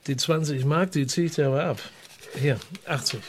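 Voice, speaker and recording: A man's voice: fairly high pitch, normal speed, noisy background, lightly acted. An actor playing a shrewd, wry small-town newspaperman in a radio drama, drawling and sardonic. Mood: dry, scheming.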